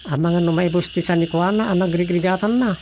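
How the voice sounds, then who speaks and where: A man's voice: high voice, steady tone, fast talker, darkish tone, slight background noise, lightly acted. A man playing an opinionated grumbler in an Ilocano radio drama, with a Filipino accent. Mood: grumbling.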